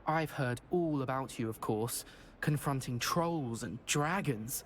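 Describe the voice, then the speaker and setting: A man's voice: medium pitch, slow, a little hiss or hum, close to an everyday voice. An actor playing a nervous, self-deprecating young male wizard student in a video game, speaking hesitantly and fussily. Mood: anxious. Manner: hesitant, fussy and self-conscious. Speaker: game character.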